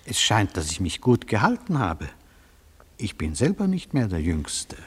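Stern aging judge, reverberant hall. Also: male voice, fairly low pitch, wildly swooping pitch, slightly rough, full character voice. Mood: probing, stern. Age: older. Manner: formal, measured, clipped questioning. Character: aging stern judge interrogating the accused, dry and official. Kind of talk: 1970s literary radio play acting.

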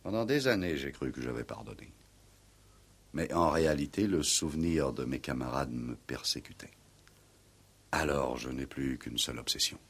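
An actor in a 1960s French radio play playing a proud, middle-aged former Resistance commander, speaking in a grave, formal, solemn way. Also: male voice, a bass voice, lively intonation, moderate pace, husky, full character voice. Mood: haunted, intense.

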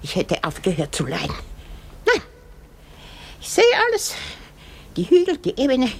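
A woman's voice: mid-range voice, wildly swooping pitch, normal speed, gravelly, husky, exaggerated voice. Querulous, theatrical old woman, wildly swooping voice. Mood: aggrieved, grandiose. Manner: querulous, exclamatory, groaning with effort. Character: elderly, heavy, cantankerous, self-pitying, theatrical Irish woman. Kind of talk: radio drama acting.

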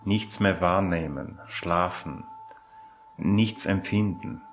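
A man recalling his own life in a reflective, halting and deliberate way. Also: sits low. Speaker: interviewee telling own life story. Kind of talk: slow reflective personal recollection. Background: music.

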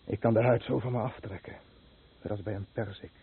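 An actor playing an anguished, guilt-ridden fisherman scarred by fallout, in a Dutch radio play with grave, measured delivery. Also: on the low side, steady tone, husky. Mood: sombre, tender. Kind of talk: Dutch radio play acting, dramatic narration. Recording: muffled, narrow-band old radio recording with noise.

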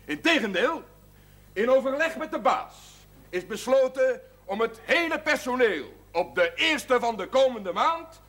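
A man's voice: very high-pitched, lively intonation, unhurried, bright, forceful tone, exaggerated voice. An actor playing a proud, jovial brewery man giving a declamatory, folksy speech to the workforce in a television drama. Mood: earnest, announcing. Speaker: actor in a Dutch television series. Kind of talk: celebratory speech to assembled brewery staff.

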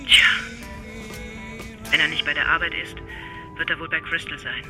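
A man acts a small-town local in an audio drama. His voice is fairly high, he sounds wary and reluctant, and music runs clearly behind him. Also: lively intonation, normal speed, natural voice. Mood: wary, helpful.

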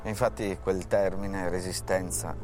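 A man with a very deep voice narrates a historical documentary from a script. He is measured and grave, with soft music behind him. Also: steady tone.

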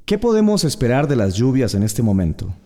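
A man's voice: medium pitch, sing-song, brisk, warm tone, long reverb tail. A man with a Costa Rican accent playing a local radio announcer interviewing a doctor in a radio drama. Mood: friendly. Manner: friendly interviewer tone. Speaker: radio host.

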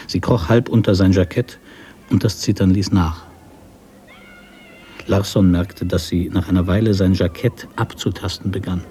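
A man narrating literary prose for a radio drama in a measured storytelling manner. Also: low-pitched, steady tone, mellow, a little hiss or hum.

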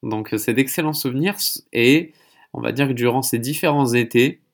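A man's voice: mid-range voice, normal speed. A man hosting a casual conversational interview, speaking in a rambling, hesitant way. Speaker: podcast host interviewing a guest.

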